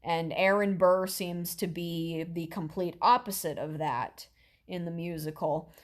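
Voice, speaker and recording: Rambling, low-voiced woman reacting casually. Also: fairly even intonation, unhurried. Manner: rambling, explanatory. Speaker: reaction video host. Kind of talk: casual chat commentary.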